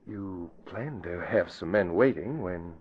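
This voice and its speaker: An actor playing a nervous city engineer in an old-time radio drama, talking in a hushed, hesitant, anxious way. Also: male voice, low-pitched, expressive, measured pace, slight background noise. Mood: worried. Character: nervous, fretful corrupt male city engineer. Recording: dull lo-fi vintage radio recording.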